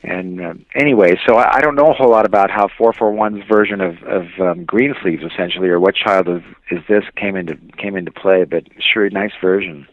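A man being interviewed as a music producer, reminiscing casually in a halting, rambling way. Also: deep voice, dry recording. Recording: dry but dull, lo-fi audio.

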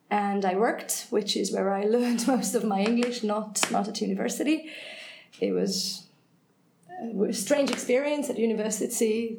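A woman's voice: fairly high pitch, darkish tone. A woman from Hungary, speaking English as a guest in an unscripted conversation, thoughtful and often correcting herself. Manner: thoughtful, self-correcting, frequent hesitations. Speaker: head of English department, guest. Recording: echoey room, faint noise.

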